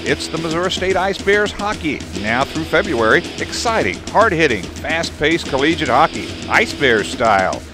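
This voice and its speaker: A man's voice: fairly high pitch, big pitch swings, moderate pace, hardly any pauses, music in the background. A man reading a hockey ticket ad with punchy, promotional delivery. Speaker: ad announcer. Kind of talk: radio ad read.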